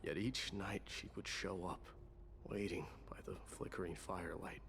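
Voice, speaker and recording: Deep, brooding warrior prince. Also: male voice, fairly even intonation, gravelly, a bit bright, a little hiss or hum. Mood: brooding, resolved. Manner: measured, solemn, formal. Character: brooding exiled warrior prince haunted by dreams.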